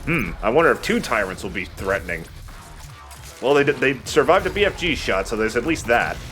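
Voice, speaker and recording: A man's voice: mid-range voice, very animated, fairly quick, fairly bright tone, soft background music. A man giving casual commentary as he plays a shooter game, as host of a let's play.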